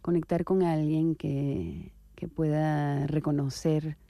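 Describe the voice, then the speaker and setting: A woman writer from Venezuela answering interview questions in a reflective, unhurried way, with soft hesitations and tag questions. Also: low-pitched, fairly even intonation, vocal fry, no echo.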